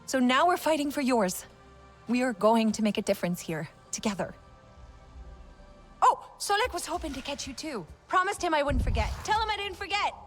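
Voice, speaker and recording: A committed resistance scientist in a game cutscene. Her voice is high and very lively in pitch, chatty and eager. Light music is heard behind her. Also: normal speed. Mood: earnest, determined. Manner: chatty, expressive. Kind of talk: naturalistic video game acting.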